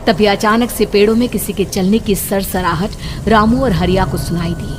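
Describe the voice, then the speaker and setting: A woman's voice: medium pitch, fairly even intonation, normal speed, background noise. A woman giving scripted narration of a horror story.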